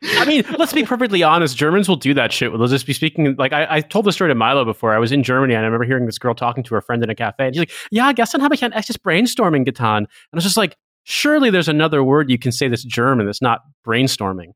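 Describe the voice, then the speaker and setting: A man co-hosting a podcast, telling stories quickly and wryly in casual comedic chat. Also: medium pitch, very animated, fairly bright tone, dry recording, studio quality. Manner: quick, wry storytelling. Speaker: podcast co-host.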